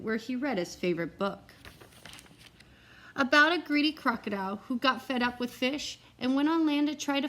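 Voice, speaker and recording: A woman reading a picture book aloud to young children in an expressive way, with simple asides to her listeners. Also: sits high. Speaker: read-aloud teacher and storyteller.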